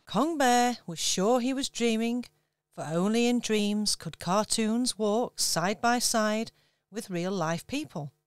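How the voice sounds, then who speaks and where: A woman giving measured storybook narration for a radio pantomime. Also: fairly high pitch, smooth, no room sound, studio quality.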